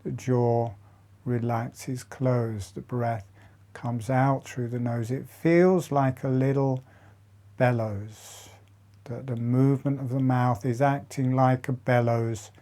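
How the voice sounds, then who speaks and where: Male meditation guide, slow and steady, low-key even delivery, mellow tone. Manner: slow, soft, halting phrases with long pauses. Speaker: meditation teacher.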